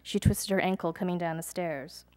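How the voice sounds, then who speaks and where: Youngish woman poet reading her stuff live, slow and careful, then chatty and unsure between poems. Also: lowish voice, fairly even intonation, darkish tone. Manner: measured, deliberate reading with chatty hesitant asides between poems. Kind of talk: poetry reading with casual asides to an audience. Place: live reading event before an audience.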